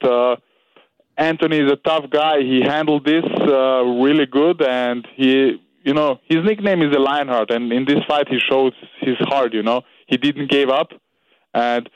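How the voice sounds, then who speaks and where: A young man with an Austrian German accent, a fighter being interviewed, speaking halting English with many "you know"s. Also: mid-range voice, no room sound, muffled recording. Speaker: guest fighter. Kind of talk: interview over a call.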